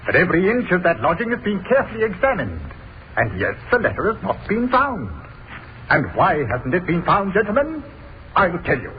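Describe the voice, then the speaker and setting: A scornful Crown prosecutor who orates at the jury with mocking questions. His voice is high for a man and swings widely. The sound is thin and faintly hissy, like an old radio broadcast. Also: phone-quality sound. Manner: oratorical, mocking rhetorical questions. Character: theatrical male Crown prosecutor, scornful and grandstanding.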